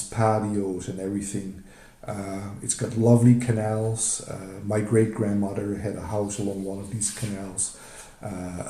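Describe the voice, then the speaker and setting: An older man with a Dutch accent presents his travel photos on a video call, speaking haltingly with many fillers and restarts. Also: on the low side, warm tone, reverberant. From Netherlands. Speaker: retired emergency physician presenting travel photos.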